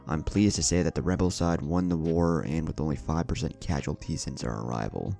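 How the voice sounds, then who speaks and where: A man's voice: very deep voice, fairly quick, vocal fry, dark tone, faint music behind. A man voicing a confident, playful young male ninja leader in a story reading, casual and teasing. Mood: reporting.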